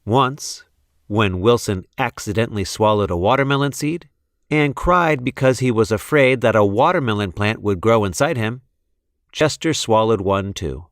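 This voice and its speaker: A man reading a children's storybook expressively, with deliberate, measured phrasing. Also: fairly low pitch, lively intonation, no room sound, studio quality. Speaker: storybook reader.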